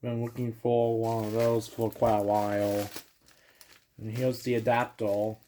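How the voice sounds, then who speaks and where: A man's voice: fairly low pitch, flat delivery, unhurried, warm tone, slight background noise. Offhand man narrating a hands-on demo. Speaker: hobbyist unboxing and setting up a device. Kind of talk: casual hands-on vlog narration.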